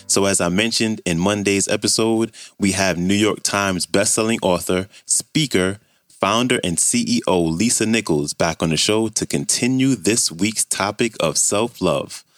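A male podcast host reading an upbeat, measured show introduction. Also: lowish voice, no echo, studio quality.

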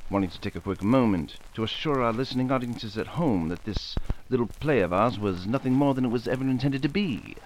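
A man's voice: mid-range voice, brisk, hardly any pauses, slight background noise, rough recording. A man giving a formal scripted address to listeners as author and director, in the manner of old-time radio.

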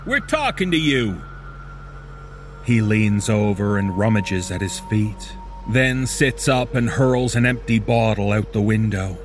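A man reading a scripted second-person horror story in a measured, deliberate manner. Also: on the low side, steady tone, faint music behind.

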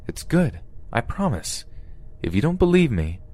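Man voicing a creepily genial male kidnapper, pitched up. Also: big pitch swings, measured pace, darkish tone. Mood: coaxing. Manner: coaxing, theatrically pleasant. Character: genial, unnervingly comfortable male kidnapper, eager amateur cook.

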